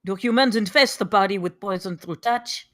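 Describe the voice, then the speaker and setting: Proud female aristocrat, voiced by an actress, medium pitch, formal and defiant, dry recording, drama table read. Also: normal speed, fairly bright tone, no room sound, lightly acted. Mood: cold. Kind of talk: live table read of a screenplay.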